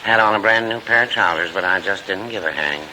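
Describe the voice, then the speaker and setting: A mild, earnest older man, played by a male actor in vintage radio comedy. His low voice is hesitant and folksy, taking everything literally. Clear hiss covers the old recording. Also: a little fast, lightly acted. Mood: folksy pride. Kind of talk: 1940s radio comedy acting.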